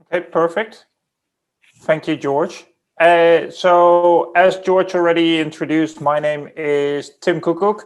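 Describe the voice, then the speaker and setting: Brief: Dutch-accented man, somewhat high pitch, steady video-call intro. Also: smooth. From Netherlands. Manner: measured, with filler "uh"s and small restarts. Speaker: recruiting team lead. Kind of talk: webinar introduction.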